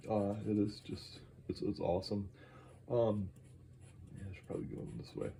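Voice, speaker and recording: A man talking casually while drawing, halting and enthusiastic, with many fillers and restarts, moving around his studio. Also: fairly low pitch, very animated, vocal fry, dark tone, a little hiss or hum. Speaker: artist vlogging while drawing. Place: indoor studio, moves around the room.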